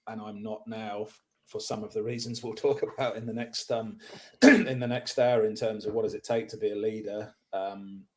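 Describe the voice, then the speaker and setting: A man's voice: fairly low pitch, steady tone, normal speed, darkish tone. A man in his fifties from England, with a mixed English and New Zealand accent, talking as a podcast guest about his career in tech sales, with many ums and restarts. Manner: self-deprecating, many ums and restarts, clears throat often. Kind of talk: casual interview talk.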